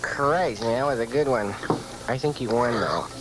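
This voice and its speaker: A man's voice: mid-range voice, normal speed, music in the background, close to an everyday voice, low-quality recording. A man as a tipsy, slangy drinking buddy. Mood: egging on. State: drunk. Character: tipsy eager young drinking buddy, egging his pal on.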